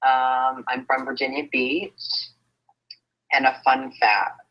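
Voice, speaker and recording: A teenage man introducing himself as a contestant, hesitant and halting with many ums. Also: mid-range voice, monotone, faint music behind. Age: late teens. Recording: dull lo-fi audio.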